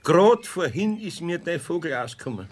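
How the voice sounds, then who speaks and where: An actor playing an older, good-natured but grumbling master carpenter with a Bavarian accent and a hesitant, muttering manner in a children's radio play. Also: male voice, on the high side, lively intonation, moderate pace, a little mellow. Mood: apologetic.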